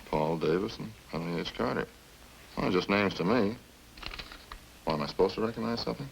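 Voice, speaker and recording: A man's voice: on the low side, brisk, vocal fry, rough recording. An actor playing an evasive male ex-convict suspect in a 1950s radio crime drama, full of indignant, slangy denials. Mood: defensive. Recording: hissy old-time radio recording.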